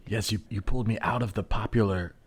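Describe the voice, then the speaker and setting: A man voicing an awed, furtive scholar from the future, speaking in a secretive hush in an improvised comedy sketch. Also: lowish voice, steady tone, quick pace, a little hiss or hum, lightly acted. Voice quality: husky, hushed.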